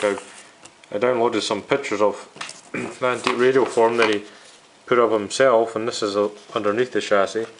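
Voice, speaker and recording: A man with a fairly low voice talks through a hobby project for his viewers. His delivery is unscripted and a little downbeat, with hesitant pauses as he explains things step by step. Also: low-quality recording. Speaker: hobbyist radio restorer and video host. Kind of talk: informal unscripted video narration.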